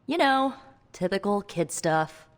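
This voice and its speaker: A woman's voice: medium pitch, expressive. A woman playing a young spellcaster hero in a video game, wry and guarded, talking in a conversational, hesitant way. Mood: wry storytelling. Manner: wry, hesitant, halting asides. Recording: long reverb, music underneath.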